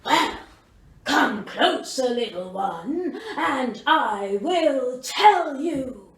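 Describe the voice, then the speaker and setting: A woman voicing a sly crocodile in a storybook tale, stretching the words slowly and craftily. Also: high voice, big pitch swings, a bit bright, full character voice. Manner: slow, drawn-out, sly. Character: sly drawling old crocodile. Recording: roomy, slightly distant mic.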